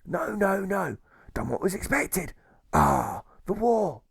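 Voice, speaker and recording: A volatile old sailor turned jailer from an audiobook, with a stage pirate accent. The voice is pushed high and creaky, swinging widely in pitch. He blusters and barks in salty, exclamatory slang. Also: sing-song, slow pace, no echo, clean studio sound, heavily acted. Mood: agitated.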